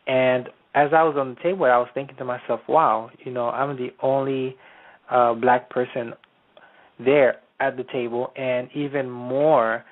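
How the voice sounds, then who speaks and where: A man hosting an internet radio show, introducing his guest in a hesitant, casual way with many pauses. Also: mid-range voice. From Haiti. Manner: hesitant, many ums and restarts. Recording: tinny phone-line audio.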